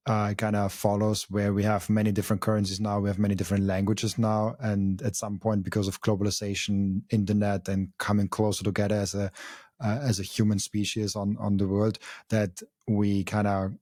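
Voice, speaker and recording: Flat-voiced German-accented young man. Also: lowish voice, a little fast, dry recording, studio quality. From Austria. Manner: thinking aloud with many fillers and restarts. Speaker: podcast host. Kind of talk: casual conversation.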